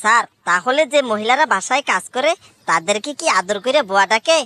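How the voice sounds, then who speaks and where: A woman's voice: a little high, expressive, a little fast, bright, forceful tone. A woman voicing cheeky, argumentative schoolchildren in a comedy cartoon. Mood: cocky, cheeky. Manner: cocky, argumentative. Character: cheeky schoolchildren answering back to their teacher.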